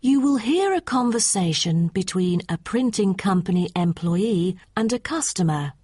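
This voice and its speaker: A woman reading scripted test instructions as an exam narrator in a formal, deliberate manner. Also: mid-range voice, lively intonation, darkish tone, slightly rough, dry recording, studio quality.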